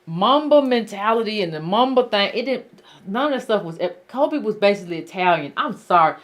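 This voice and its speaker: A woman's voice: mid-range voice, lively intonation, fairly quick. A woman hosting a call-in show, talking bluntly and emphatically and repeating her points to callers. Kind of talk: casual call-in talk.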